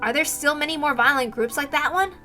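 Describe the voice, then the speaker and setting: A woman plays a brash, spirited teenage girl who leads her friends in a horror visual novel. The voice is high and forceful, with music faintly underneath. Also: fairly quick. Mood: confident, spirited.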